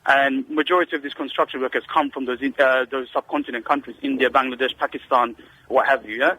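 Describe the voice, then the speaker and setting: A man's voice: medium pitch, fairly even intonation, fast, sharp tone, slight background noise. A man calling in to a radio phone-in, telling a long story with many hesitations and restarts. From Britain. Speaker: caller. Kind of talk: phone-in call. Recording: phone line.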